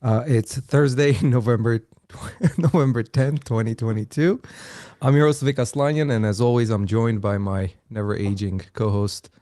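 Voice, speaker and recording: A man's voice: medium pitch, fairly even intonation, moderate pace, dark tone, no echo, clean studio sound. A man hosting a live podcast, chatty with many fillers, laughing easily as he introduces a guest. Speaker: podcast host. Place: podcast studio.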